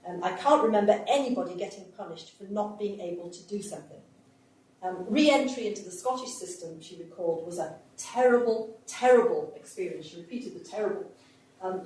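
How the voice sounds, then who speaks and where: A woman's voice: lowish voice, a little mellow, a little hiss or hum. Woman prof lecturing, lots of ums and restarts, big echoey room, mic far off. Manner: hesitant, many fillers and restarts. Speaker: history professor giving a lecture. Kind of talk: public lecture with interview clips. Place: lecture hall. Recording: echoey hall, voice from a distance.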